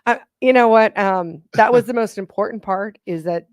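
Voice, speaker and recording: A woman's voice: medium pitch, normal speed, no echo, studio quality. A woman co-hosting a live racing engine show, chatting casually and rambling a little as she greets viewers and reads out their comments. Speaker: co-host of a racing engine livestream. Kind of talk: casual chat.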